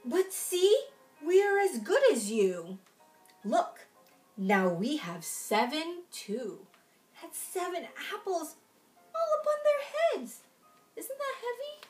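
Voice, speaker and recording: A young woman reads a picture book to small children in a high, swooping voice. She goes slowly and plays up the phrases with exaggerated storyteller expression, with faint music in the recording. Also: wildly swooping pitch, a little mellow. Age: young adult. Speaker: children's library storytime reader. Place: room at home, in front of a folding screen.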